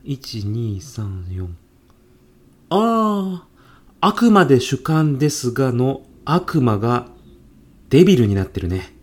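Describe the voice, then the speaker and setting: A woman playing an easygoing, forgiving middle-aged male department manager in a comedic radio drama, with a breezy, chummy manner and a husky voice. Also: very deep voice, big pitch swings, slow, long pauses, darkish tone, heavily acted. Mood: puzzled, awkward.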